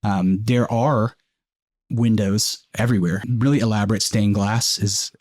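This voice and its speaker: Low-voiced man narrating a tabletop game. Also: fairly even intonation, a little slow, a little mellow, dry recording, clean studio sound. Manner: conversational, informal, descriptive. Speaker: game master.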